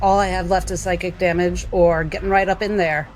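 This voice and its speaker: A mid-pitched player talks through a spell in a thoughtful, hedging way. Faint music plays behind. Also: little pitch movement, fairly quick. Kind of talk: tabletop game play.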